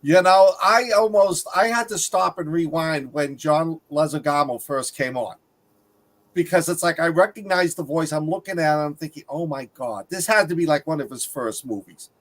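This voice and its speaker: Middle-aged male panelist, somewhat high-pitched, casual and anecdotal movie talk. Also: normal speed, a bit bright. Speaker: film review panelist.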